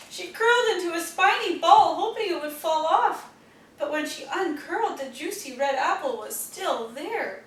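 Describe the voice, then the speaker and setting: A woman reading children's stories aloud, with an expressive, deliberate storytelling manner for young listeners. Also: sits high. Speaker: storybook reader. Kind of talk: children's read-aloud narration. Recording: roomy, distant mic, faint noise.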